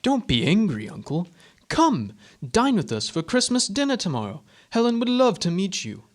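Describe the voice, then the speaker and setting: A man acts a good-hearted young nephew in a radio drama. His voice sits high and moves in a very animated way, hearty and earnest throughout. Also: normal speed.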